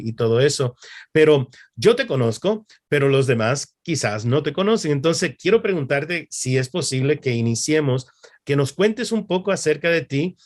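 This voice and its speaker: A man with an average-pitched voice hosts an interview. He speaks courteously and deliberately, setting out each thought and question for his guest in Spanish. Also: darkish tone. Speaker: interviewer, pastor and church supervisor. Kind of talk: interview hosting.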